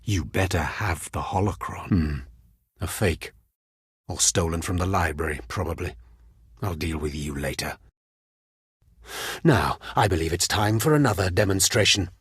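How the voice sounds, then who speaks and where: Raspy, cruel Sith overseer. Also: male voice, low-pitched, animated, normal speed, pauses often, fairly bright tone, no room sound, clean studio sound, heavily acted. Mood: cold, menacing. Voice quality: raspy, husky. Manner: sneering, menacing, imperious. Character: cruel, contemptuous Sith overseer, a grown man. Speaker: video game voice actor. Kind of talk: scripted video game dialogue.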